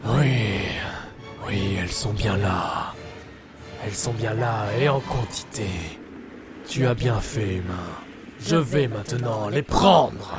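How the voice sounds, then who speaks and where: An actor playing an arrogant, wrathful god in a French fantasy audio drama, proclaiming with grandiose menace. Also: male voice, lowish voice, slow pace. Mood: gloating. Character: arrogant, wrathful male god of strange worlds. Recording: loud music under the voice.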